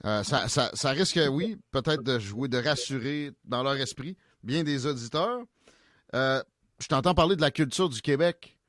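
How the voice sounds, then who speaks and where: A man's voice: mid-range voice, normal speed, a bit bright, no room sound, clean studio sound. A man with a Quebec French accent hosting an interview in a chatty way, with many fillers. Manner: chatty, with frequent fillers and affirmations. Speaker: podcast host. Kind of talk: casual interview conversation.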